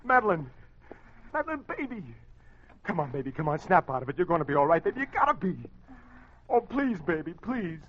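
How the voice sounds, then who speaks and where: Hard-boiled American crook, old radio drama. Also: male voice, sits high, big pitch swings, fairly quick, long pauses, a little hiss or hum. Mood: frantic. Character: tough male small-time crook, tormented, confessional narrator. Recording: dull old radio recording, music behind.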